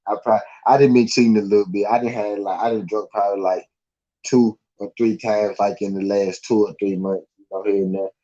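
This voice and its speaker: A man's voice: fairly low pitch, fairly even intonation, a little fast, dark tone, dull audio. A man with a Southern US accent hosting a live stream, chatting casually in a laid-back, slangy, rambling way with lots of fillers. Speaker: podcast host.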